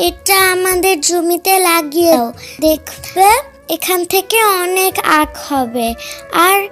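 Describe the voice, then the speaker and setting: A woman's voice: very high-pitched, a bit bright, heavily acted. A woman voicing a clever, cheerful little animal daughter in a children's cartoon, eager and reassuring. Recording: music under the voice.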